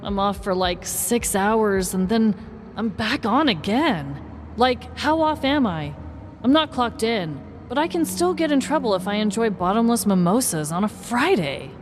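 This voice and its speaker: A woman's voice: medium pitch, big pitch swings, unhurried. A woman playing a weary, exasperated middle-aged school bus driver in a comic audio story, whining half-heartedly. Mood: weary complaining. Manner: half-hearted, whimpering, complaining. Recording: echoey, noisy recording.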